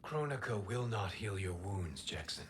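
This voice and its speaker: A grave, earnest god of the wind voiced by a male actor for a dramatic game cutscene. The voice is low and the phrasing formal and measured, over a faint bed of game ambience. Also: lively intonation, natural voice. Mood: solemn, reflective.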